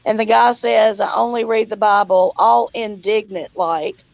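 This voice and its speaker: A woman's voice: fairly high pitch, steady tone, unhurried, vocal fry, no echo, lo-fi sound. A woman hosting a casual livestream chat outdoors on her phone, reading viewer comments and talking in a folksy way, calling people honey. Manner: folksy, calls listeners honey. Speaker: livestream host.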